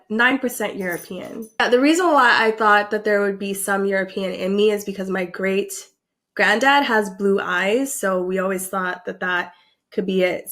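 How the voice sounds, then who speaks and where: A young woman vlogging casually, reacting in an animated, excitable way and filling her talk with likes and ums. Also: medium pitch. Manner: animated, excitable, filler-heavy with frequent likes.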